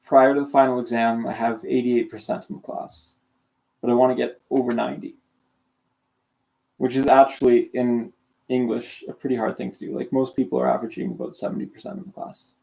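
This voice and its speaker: A man's voice: mid-range voice, flat delivery, a little fast, gravelly, mellow, poor audio. A young man from Canada in his late teens, with a sore throat and half asleep, rambling through casual livestream chat and correcting himself as he goes. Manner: rambling, self-deprecating, many restarts. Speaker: streamer.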